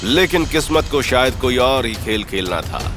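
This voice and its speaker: A man's voice: mid-range voice, lively intonation, normal speed. A man giving dramatic scripted narration of a story. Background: background music.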